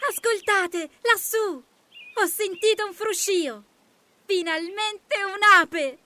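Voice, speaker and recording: A woman voicing a bossy, excitable, self-assured little female ladybird in a children's bedtime story. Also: very high voice, fairly quick, voice pushed up, heavily acted. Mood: excited.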